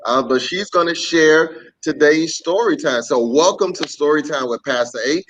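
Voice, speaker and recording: A man's voice: fairly high pitch, normal speed, fairly bright tone, slight background noise. A man casually hosting a livestreamed children's story time, greeting viewers and repeating himself as he goes. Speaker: host, pastor. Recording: dull lo-fi webcam stream.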